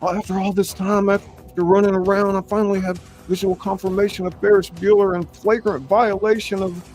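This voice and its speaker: A man's voice: sits high, little pitch movement, a little fast, darkish tone, faint music behind, close to an everyday voice. A man playing a melodramatic, exasperated school official in a comedic script reading, sputtering with wounded outrage. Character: exasperated school official hunting truant Ferris, wounded and theatrical.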